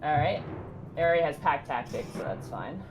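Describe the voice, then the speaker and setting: Low-pitched woman playing a tabletop game. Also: lively intonation, fairly quick, low-quality recording. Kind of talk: casual game-table talk. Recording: clear music under the voice. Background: music.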